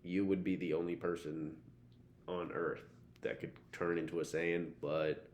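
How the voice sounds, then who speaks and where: A man's voice: low voice, level tone, unhurried, a little mellow, slight background noise. A man co-hosting a podcast, talking casually and matter-of-factly in slangy, sometimes profane language. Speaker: podcast co-host. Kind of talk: casual banter, would-you-rather questions.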